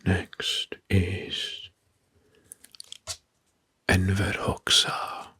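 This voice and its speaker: Slow, whispering man doing ASMR narration. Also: low-pitched, completely flat delivery. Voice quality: breathy, hushed whisper. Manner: slow, deliberate, repeats words softly.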